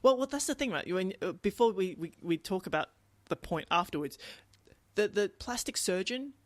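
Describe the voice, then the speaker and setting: A man casually discussing a book as a podcast host, halting and repeating words, often checking in with "right?". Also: high-pitched, expressive, dry recording.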